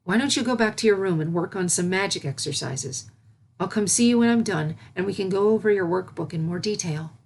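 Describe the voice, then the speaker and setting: A woman's voice: mid-range voice, animated, brisk, darkish tone, breathy edge. Woman as a caring, regal alicorn princess. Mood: composed.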